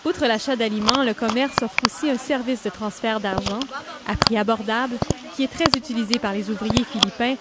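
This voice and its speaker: A woman reporter reading a scripted news report in a measured, even way. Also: fairly high pitch, very noisy. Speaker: radio reporter.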